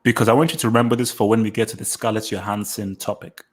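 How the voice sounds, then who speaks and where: A man hosting a casual podcast chat, arguing his point with animated emphasis and lots of repeated words. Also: fairly low pitch, quick pace. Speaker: podcast host.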